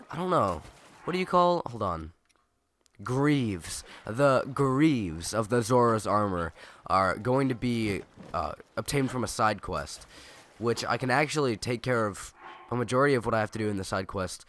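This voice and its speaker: A young man with a cold, his nose stuffed and his voice raspy, rambling chattily and correcting himself as he comments on a video game. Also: fairly low pitch, fairly bright tone, slight background noise. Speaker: let's play gamer. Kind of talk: casual gameplay commentary.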